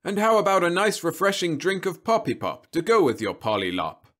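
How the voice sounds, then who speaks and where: A smooth-talking robot salesman from a science fiction story, acted out by a male narrator. The voice is lifted well above a natural register and projected, with polished, winning, relentless sales patter. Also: sits high, wildly swooping pitch, brisk, a bit bright, pitched above the performer's own voice, exaggerated voice.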